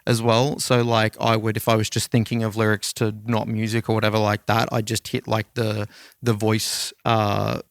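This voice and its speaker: A man's voice: fairly low pitch, monotone, normal speed, vocal fry, dry recording, clean studio sound. An Australian man hosting a podcast, telling rambling stories in casual conversation. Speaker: podcast host.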